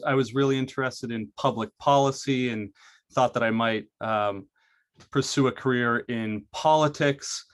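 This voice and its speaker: Earnest young man presenting, many restarts. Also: mid-range voice. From Maine. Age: young adult. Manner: earnest, frequent fillers and restarts. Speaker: college student presenter. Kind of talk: prepared presentation.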